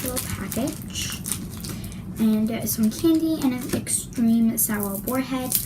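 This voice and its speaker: A young woman reviewing slime in a casual vlog, chatty and apologetic, her voice worn and her throat scratchy. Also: a little high. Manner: apologetic, chatty, drawn-out words. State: losing her voice, clearing her throat. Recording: loud background noise.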